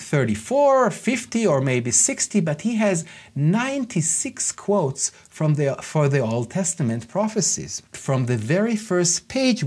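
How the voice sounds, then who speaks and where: A man's voice: fairly high pitch, a little mellow, husky. A middle-aged Israeli man, a native Hebrew speaker, gives an earnest scripted Bible lesson to camera in a studio. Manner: earnest, gestures with his hands while he explains. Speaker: Bible teacher. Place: studio in front of a backdrop.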